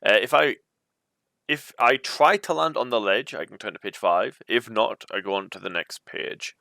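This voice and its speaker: A man's voice: fairly low pitch, normal speed, gravelly, sharp tone, dry recording. A man reading an adventure storybook aloud as its narrator. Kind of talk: reading a choose-your-own-adventure book aloud.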